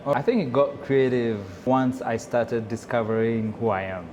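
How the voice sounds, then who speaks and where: Male, Kenyan accent, mid-pitched, relaxed conversational interview delivery as a chef, noticeable background noise. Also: moderate pace. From Nairobi, Kenya. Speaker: chef and restaurant owner.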